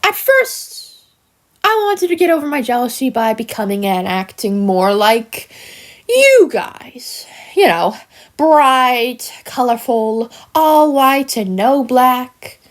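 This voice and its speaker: A smug, jealous cartoon critter villainess, speaks in a high voice that swings widely up and down. She takes her time, drawing words out slowly with theatrical, mock-sweet menace. Her manner is taunting and sinister, as in a fan-made animated monologue. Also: heavily acted. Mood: wistful, envious.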